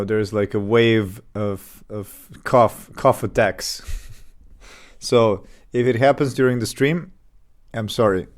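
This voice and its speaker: A man streaming his game development live, chatting casually with fillers and restarts while getting over an illness with a slight cough. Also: fairly low pitch. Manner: relaxed, rambling, many fillers and restarts.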